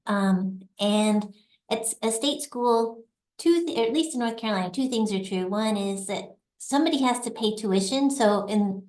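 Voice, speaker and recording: Woman, middle-aged, mid-pitched, chatty, laughs easily, echoey room. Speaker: assistant dean of a graduate school, guest. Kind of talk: conversational interview answers.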